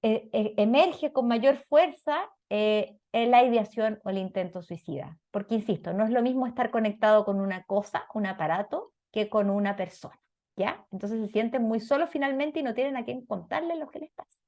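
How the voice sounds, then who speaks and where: Woman, Chilean Spanish accent, slightly high pitch, didactic lecture delivery, frequent check-in questions. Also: normal speed, a little mellow. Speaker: lecturer on parenting and psychology. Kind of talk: explanatory talk to an audience.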